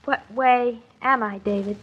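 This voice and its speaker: An actress playing a naive, talkative young expectant wife in an old-time radio drama, artless and questioning. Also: female voice, a little high, steady tone, measured pace, breathy edge. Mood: subdued, insecure. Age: young adult. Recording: dull, lo-fi old radio recording.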